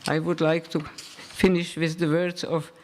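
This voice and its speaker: Man, professor, conference talk, halting, repeats words, somewhat high voice. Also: level tone, a little mellow. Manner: halting, repeats words while searching for them. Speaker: professor, panel speaker.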